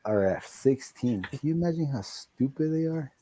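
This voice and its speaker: A man in his thirties on a casual comics video call, excitable and slangy, calling everyone bro. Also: medium pitch, normal speed, a loud crowd. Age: 30s. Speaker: comic channel host in group chat.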